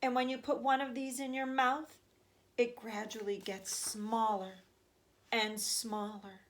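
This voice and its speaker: A woman voicing an excitable, kindly old grandfather from a children's storybook, dramatic and savoring each detail. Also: a little high. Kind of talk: children's storybook read aloud.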